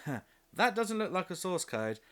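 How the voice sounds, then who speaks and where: A male film reviewer narrates with a very lively, swinging pitch. He is sarcastic and exasperated, mixing scripted comic commentary with off-the-cuff asides. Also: normal speed, crowd noise.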